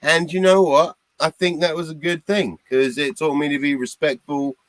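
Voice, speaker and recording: A man's voice: a little high, fairly even intonation, normal speed, no echo. A man in his thirties from Britain speaking bluntly and swearing in a casual chat.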